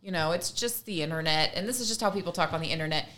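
A woman's voice: low-pitched, rapid-fire, fairly bright tone. Woman in casual conversational commentary. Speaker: podcast co-host.

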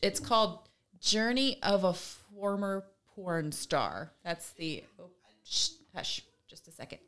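Bright-voiced woman telling stories casually. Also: mid-range voice, expressive, slow. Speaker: podcast co-host. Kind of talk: casual conversational storytelling.

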